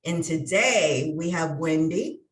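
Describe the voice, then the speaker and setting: Roomy distant recording, woman, lowish voice, interview host, conversational. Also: lively intonation, slow, slight background noise. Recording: roomy echo, distant from mic.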